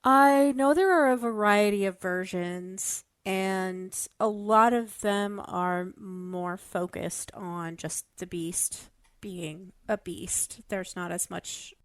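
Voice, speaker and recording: A woman's voice: medium pitch, fairly even intonation, slow pace, no echo, studio quality. A woman co-hosting a podcast, explaining things casually with wry asides. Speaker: podcast co-host.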